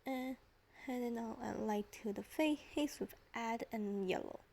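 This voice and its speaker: A woman's voice: a little high, unhurried, darkish tone. A teenage girl narrating a drawing tutorial in a casual, rambling way, with hesitant restarts and chatty side stories. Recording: voice-over with clear background music. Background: background music.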